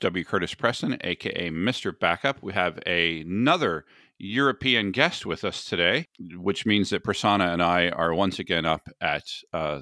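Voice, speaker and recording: Low-voiced American podcast host, dry close mic. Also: male voice, sharp tone, dry recording. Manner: chatty, self-deprecating, quick to laugh. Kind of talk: casual podcast chat. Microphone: sensitive podcast mic.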